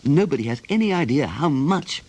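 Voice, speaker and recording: An actor playing a troubled middle-aged English writer in a radio play, wistful and rueful. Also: male voice, a little high, very animated, moderate pace, a little mellow. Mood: wistful, frustrated. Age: 40s.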